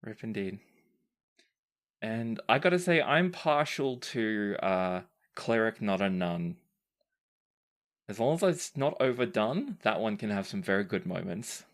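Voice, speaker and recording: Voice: mid-range voice, lively intonation, unhurried. A podcast host and game master reading listener questions aloud and chatting casually, in a conversational, playfully emphatic way.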